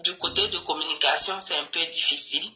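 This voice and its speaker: A woman caller, hoarse from a cold, earnestly thanking the coach. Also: sits low, fairly even intonation, fast, sharp tone, faint music behind. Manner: grateful, earnest. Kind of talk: phone-in testimony.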